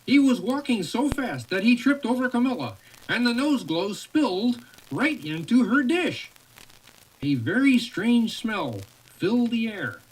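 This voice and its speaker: A woman's voice: fairly high pitch, very animated, a little slow, slightly rough. A woman reading a children's storybook aloud in a playful way, with chatty asides to the child turning the pages. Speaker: storybook reader. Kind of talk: read-along story narration with casual asides. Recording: noisy home recording.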